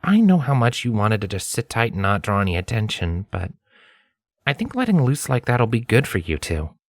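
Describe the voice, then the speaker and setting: A man's voice: lowish voice, sing-song, normal speed, dry recording, studio quality. A man voicing an optimistic, caring male pony scholar with a casual, reassuring and slightly hesitant manner for a storybook reading. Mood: soft, warm.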